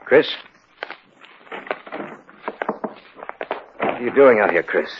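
An actor playing a sober, sceptical friend, measured and serious, narrating in 1950s radio drama style. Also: male voice, medium pitch, lively intonation, noisy background, natural voice. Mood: firm. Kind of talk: 1950s radio acting, scripted first-person narration. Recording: tinny old radio recording.

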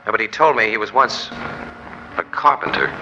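A man's voice: on the low side, expressive, normal speed, lo-fi sound, noisy background. An actor playing a subdued, reflective American newspaperman in a 1940s radio drama. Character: Casey, subdued, reflective male newspaper photographer. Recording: old 1940s radio with music behind.

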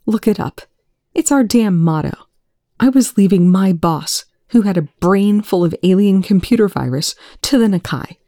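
A woman reading aloud, voicing a distraught young man who shouts and then chokes out whispers through tears. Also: mid-range voice, expressive, moderate pace, no room sound, clean studio sound, pitched above the performer's own voice, lightly acted. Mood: urgent recounting.

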